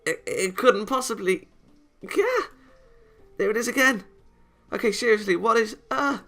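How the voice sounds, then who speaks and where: A man's voice: sits high, measured pace, pushed and bright, soft background music, voice pushed up, heavily acted. A man voicing a flustered, easily angered young anime girl in a visual novel, stammering and indignant.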